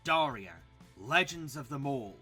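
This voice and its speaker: A man's voice: medium pitch, animated, measured pace, pushed and bright, husky, soft background music. A man reading scripted video essay narration as the host.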